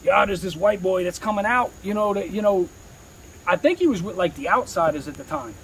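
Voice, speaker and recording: A man's voice: sits high, lively intonation, brisk, a bit bright, a little hiss or hum, poor audio. A man talking candidly in an interview as a rapper, with slangy, streetwise speech peppered with swearing.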